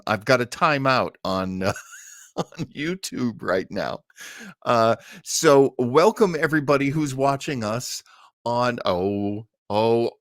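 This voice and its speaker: A man's voice: medium pitch, fairly bright tone, dry recording, studio quality. A man hosting a casual, chatty livestream about old radio shows, rambling and easily sidetracked as he talks. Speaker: livestream host of an old-time radio listening show.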